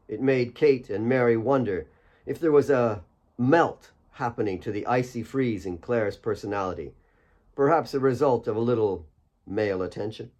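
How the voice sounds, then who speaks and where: A man's voice: medium pitch, darkish tone. A man reading his own fiction as a scripted audiobook narration.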